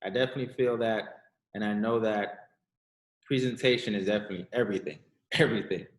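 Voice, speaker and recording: A man's voice: fairly low pitch, fairly even intonation, quick pace. Guy on a training call, kinda hesitant, echoey room sound. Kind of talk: casual group training talk.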